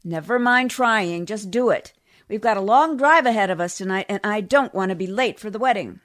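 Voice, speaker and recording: A woman's voice: mid-range voice, moderate pace, fairly bright tone, close to an everyday voice. A woman playing a bossy, needling housewife in a 1940s-style radio comedy, with a pointed, scolding delivery. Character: bossy, needling, nagging housewife, unflappably critical. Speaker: community theatre actor.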